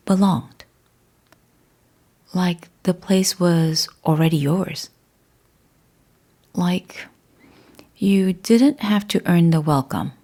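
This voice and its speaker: Very slow, hushed woman guiding meditation. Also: fairly low pitch. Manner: measured, hushed, deliberate with long pauses. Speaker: guided meditation host. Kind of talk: slow guided meditation narration.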